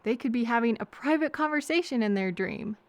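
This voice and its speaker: A woman playing a kind, anxious, chatty traveler who gently overthinks and thinks aloud in a hesitant, earnest audio roleplay. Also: a little high, expressive, a little fast, no echo. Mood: gentle, curious.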